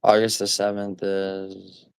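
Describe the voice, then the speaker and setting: A deep-voiced man chats loosely to his livestream viewers. His low tone stays smooth and clean. He rambles, swears often and trips over his own restarts, all on a dry, close sound. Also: completely flat delivery, slow pace, no room sound. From New York City. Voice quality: nasal. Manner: rambling, profane, full of fillers and restarts. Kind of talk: casual livestream chat, reading viewer comments.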